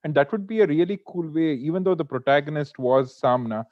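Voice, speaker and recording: A man's voice: fairly high pitch, fairly quick. A man giving casual feedback as a participant in an online group discussion. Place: online video meeting. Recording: dull lo-fi video call audio.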